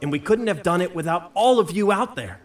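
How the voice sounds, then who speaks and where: Grand sentimental tv host, highish voice that swings around a lot, big pauses. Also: male voice, expressive, recorded from a distance. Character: sentimental male reality show host bidding farewell. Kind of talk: theatrical stage announcement to an audience.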